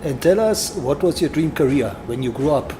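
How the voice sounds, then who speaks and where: A man's voice: on the high side, expressive, moderate pace, noisy background. A man hosting an interview and putting questions to his guest. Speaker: interviewer, host.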